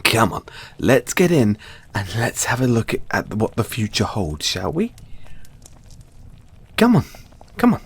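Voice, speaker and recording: A man playing a giddy, affectionate mad scientist boyfriend in an audio roleplay, excitable and theatrical, coaxing the listener along. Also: medium pitch, takes it slowly, long pauses, slightly rough, full character voice. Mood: excited, coaxing.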